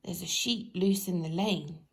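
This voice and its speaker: A woman's voice: lowish voice, a little slow, vocal fry. A woman voicing a good-natured, forgetful little storybook man with a hesitant, dithering way of talking. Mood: concentrating. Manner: hesitant, dithering, stumbling over thoughts.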